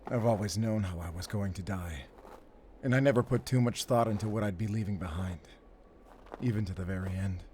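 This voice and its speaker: A man's voice: on the low side, a little mellow, a little hiss or hum, close to an everyday voice. A man playing a wounded, stoic werewolf hunter who speaks in terse, strained phrases in a cinematic audio drama. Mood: grave, resigned. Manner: terse, halting, strained delivery. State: hurt, weakened. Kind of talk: cinematic audio roleplay acting.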